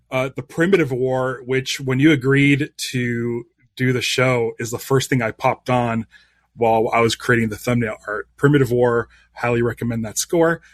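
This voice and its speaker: A man's voice: mid-range voice, no room sound. A man hosting a live-stream interview show, eager and rambling with plenty of restarts.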